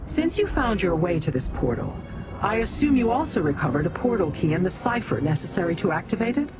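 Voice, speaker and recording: A woman's voice: fairly low pitch, very animated. An actress playing a commanding, guarded female leader in a fantasy video game, speaking formally over music. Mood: businesslike. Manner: formal, measured, imperious. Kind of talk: scripted fantasy game dialogue.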